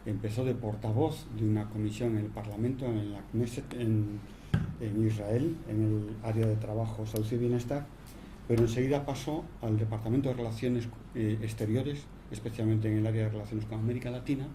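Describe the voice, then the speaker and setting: Lower-voiced man giving a formal introduction. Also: fairly even intonation, brisk. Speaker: event moderator introducing the guest. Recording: noisy room recording.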